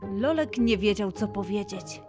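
Casting: female storyteller for children's tales, expressive and dramatic, mid-pitched, with music underneath. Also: measured pace. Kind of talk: scripted children's story narration.

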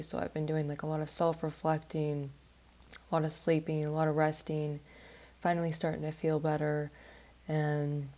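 A woman's voice: deep voice, warm tone. A woman hosting an astrology podcast in a chatty, rambling monologue, sounding stuffed up as she gets over the flu. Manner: rambling and chatty, with many "like" fillers.

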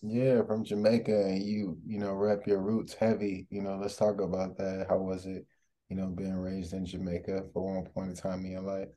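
A man's voice: low voice, level tone, moderate pace, mellow. A man hosting a casual interview, laid-back and affirming with slang. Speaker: interview host.

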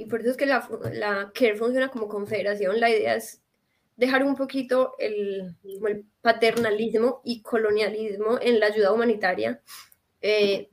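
Colombian woman guest on a video call, kinda high voice, rambly and animated. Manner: animated storytelling with fillers and restarts. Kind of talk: casual interview talk.

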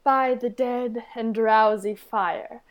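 A woman's voice: a little high, steady tone, takes it slowly. A woman playing a serene, regal fairy ruler, giving stately, lilting incantatory verse in a radio play. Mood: serene, commanding.

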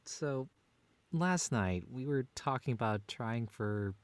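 A man's voice: medium pitch, takes it slowly, smooth, no echo. A man playing a long-suffering husband and father who banters drily in a scripted audio comedy drama. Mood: weary. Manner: dry, deadpan, bantering.

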